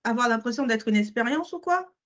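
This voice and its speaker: A woman's voice: on the high side, animated, brisk, fairly bright tone. A woman co-hosting a candid conversation, talking with emphatic repetitions and frequent self-corrections. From grew up in France, born abroad. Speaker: podcast co-host.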